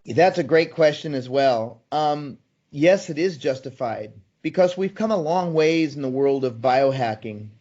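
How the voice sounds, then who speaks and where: A man's voice: on the high side, expressive, a little slow, darkish tone. A man in his sixties, a guest in an interview, talking eagerly and persuasively with frequent ums and restarts. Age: 60s. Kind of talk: conversational interview answers. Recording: slightly dull, muffled sound.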